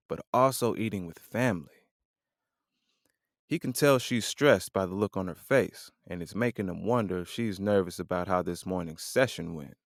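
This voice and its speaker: A male narrator reads a scripted drama scene. His voice is very deep with a gravelly creak, captured dry and close to the listener. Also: dry recording.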